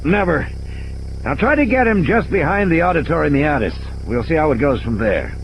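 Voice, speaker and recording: A man voicing a gruff, knowing game warden who explains things bluntly and emphatically in an audio play. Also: on the high side, expressive, normal speed, a little hiss or hum, pitched above the performer's own voice, rough recording. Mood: terse. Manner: matter-of-fact, explanatory, emphatic.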